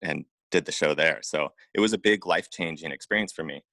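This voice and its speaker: Low-voiced man telling his story casually. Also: a little fast, pushed and bright, dry recording, studio quality. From Oregon, United States. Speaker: interview guest, acupuncturist and massage therapist. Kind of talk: casual personal storytelling in an interview.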